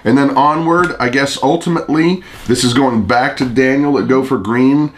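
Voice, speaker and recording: A man's voice: medium pitch, normal speed. A middle-aged man hosting a casual home video, joking and teasing as he sorts through a package at a table. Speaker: channel host. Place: living room at home.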